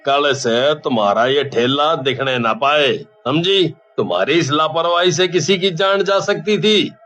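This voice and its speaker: Casting: a man as an authoritative police officer, slow and commanding, cartoon tale, music behind. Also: on the high side, fairly bright tone, voice pushed down. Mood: stern. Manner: stern, authoritative. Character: stern village police inspector, commanding grown man. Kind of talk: animated story dialogue. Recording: dull lo-fi sound, music underneath.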